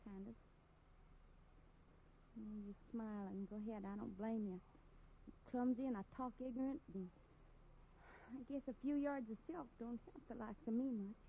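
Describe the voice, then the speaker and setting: A blunt, rough-spoken tomboy of the frontier, in a 1950s radio western. Her voice is high. The sound is muffled, with a faint hiss from the old broadcast. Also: moderate pace, long pauses. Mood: awkward. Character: rough tomboy frontier woman learning manners. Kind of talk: 1950s radio drama acting.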